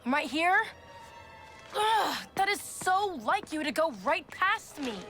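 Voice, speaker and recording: A woman's voice: very high voice, expressive, slow, bright, forceful tone, faint music behind, exaggerated voice. An actress playing a sarcastic, rebellious eighteen-year-old girl in a video game cutscene. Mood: defiant. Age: young adult. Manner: sarcastic, valley-girl fillers.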